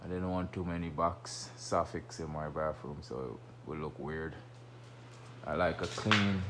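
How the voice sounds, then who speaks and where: A man with a very deep voice talks slowly and loosely, rambling as he walks through his unfinished basement. He records on a handheld phone, with a faint hum of room noise behind him. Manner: relaxed, rambling, informal speech. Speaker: homeowner showing his basement renovation. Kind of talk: casual walkthrough narration. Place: unfinished basement under renovation. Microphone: phone camera held while walking.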